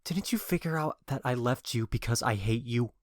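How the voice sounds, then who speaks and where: A man's voice: medium pitch, moderate pace, no echo, clean studio sound, natural voice. A man playing a bewitched young lover in a modern-English Shakespeare audio drama, earnest and blunt in his declarations. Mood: cold, infatuated.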